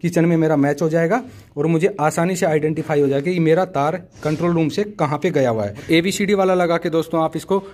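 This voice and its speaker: A man's voice: fairly high pitch, fairly quick, darkish tone. A man giving a practical how-to explanation as a tutorial host, in an instructive, methodical way, addressing viewers as friends.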